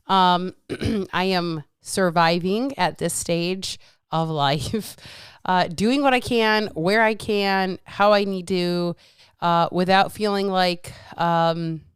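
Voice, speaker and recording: A woman's voice: mid-range voice, smooth, no echo, studio quality. A woman hosting her own podcast as a fitness coach, ranting bluntly with lots of swearing, a little congested with a lingering cough. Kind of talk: casual solo podcast rant.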